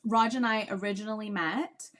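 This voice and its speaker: A woman in her mid-thirties hosting a podcast, chatting in a lively way and laughing easily. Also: mid-range voice, normal speed. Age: 30s. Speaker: podcast host. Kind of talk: casual chat.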